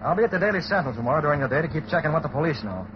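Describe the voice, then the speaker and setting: An actor playing a brisk, commanding masked crime-fighter, crisp and businesslike, in a 1930s radio drama. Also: male voice, a little high, expressive, lightly acted. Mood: resolute briefing. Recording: muffled old radio recording with noise.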